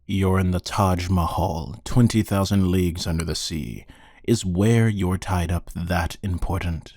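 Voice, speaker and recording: A man performing a sardonic human interrogator for hire in an ASMR roleplay. His voice is deep and dark, and he takes his time, taunting his captive with bored, deadpan condescension. The sound is dry and close, with a husky grain. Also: a little slow, dry recording. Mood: bored, condescending.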